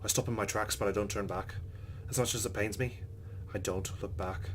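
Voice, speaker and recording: A man's voice: lowish voice, fairly even intonation, fairly quick, a little hiss or hum. A man hosting a let's play, reading visual novel narration aloud with his own reactions, over game ambience.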